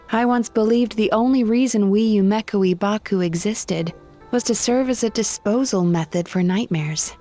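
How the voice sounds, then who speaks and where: An actress playing a gentle, wistful dream-eating spirit woman who works as a psychologist in a video game, speaking softly and reflectively. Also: mid-range voice, natural voice. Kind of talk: scripted video game character dialogue. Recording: game music behind the voice.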